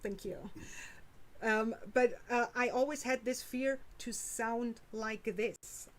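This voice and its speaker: A woman's voice: a little high, fairly even intonation, slow pace, poor audio. A German woman with a German accent, chatting candidly as host of her own stream. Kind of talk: casual chat on a stream.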